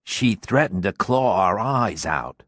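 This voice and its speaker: A woman voicing a menacing male orderly in an audiobook, husky and drawling, with a false friendliness. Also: a bass voice, animated, slow pace, no echo, studio quality, pitched below the performer's own voice, full character voice. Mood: accusing.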